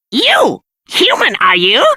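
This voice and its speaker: An actor voicing a friendly, cackling monster who welcomes humans and exclaims between phrases. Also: male voice, very high-pitched, gravelly, bright, forceful tone, no room sound, exaggerated voice.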